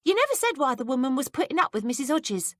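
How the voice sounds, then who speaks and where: A woman voicing a clever, nosy young housemaid who asks eager, high-pitched questions. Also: sing-song, fast, fairly bright tone, dry recording, studio quality. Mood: coy.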